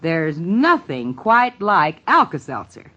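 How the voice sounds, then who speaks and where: A woman's voice: sits low, sing-song, slow. A woman reading a scripted 1950s radio commercial as the product's spokeswoman. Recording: dull, band-limited 1950s radio recording.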